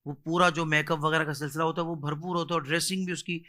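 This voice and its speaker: A man with a bright, crisp-edged voice pitched a little high hosts a religious interview. He speaks respectfully and defers to his guest, putting in devout interjections. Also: little pitch movement, fast. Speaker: host interviewing a religious scholar.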